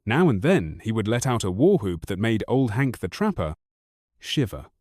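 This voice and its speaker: A man narrating an audiobook story in a wry, expressive first-person manner, recorded close on a studio mic. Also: lowish voice, normal speed, no echo.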